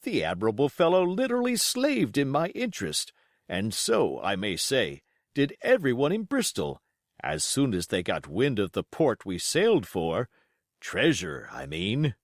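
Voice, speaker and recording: A man voicing a pompous, excitable country squire reading out his letter in an emphatic, blustering storybook manner. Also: medium pitch, measured pace, no room sound, clean studio sound. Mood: boastful, enthusiastic.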